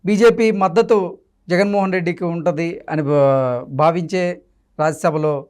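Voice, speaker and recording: A man's voice: fairly high pitch, fairly even intonation, unhurried, clean tone. A man acting as interviewer in a political discussion.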